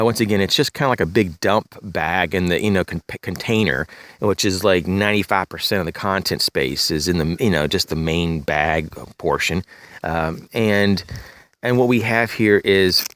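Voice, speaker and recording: A man with a slightly raspy, congested voice, casually reviewing his backpack outdoors, rambling with many fillers and clearing his throat. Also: low voice, moderate pace, a bit bright, dry recording. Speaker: gear reviewer, channel host. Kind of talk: casual show-and-tell gear review. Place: outdoors in leafy woods, bag on a chair.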